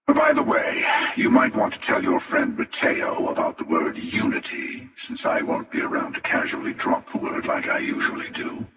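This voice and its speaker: An older man playing an ancient, sardonic and menacing starfaring hunter in a video game, with a gravelly, wry, taunting drawl. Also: on the low side, a bit bright, far from the mic, exaggerated voice. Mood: wry, condescending. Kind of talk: scripted video game character dialogue. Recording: cavernous reverb, voice set back from mic.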